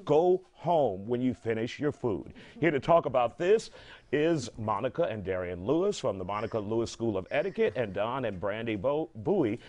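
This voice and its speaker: A man's voice: medium pitch, big pitch swings, moderate pace, a little mellow. A middle-aged American man hosting a studio talk show in an opinionated, playfully exasperated way. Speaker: TV show host.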